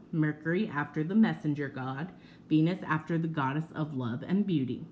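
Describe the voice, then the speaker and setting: Animated woman reading aloud, noisy recording. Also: lowish voice. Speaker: teacher reading aloud to pupils. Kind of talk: children's nonfiction book read aloud.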